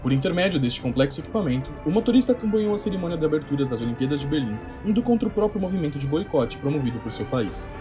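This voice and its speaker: Animated Brazilian male narrator over music. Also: mid-range voice. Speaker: narrator of a fan-made audio drama. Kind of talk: scripted literary narration.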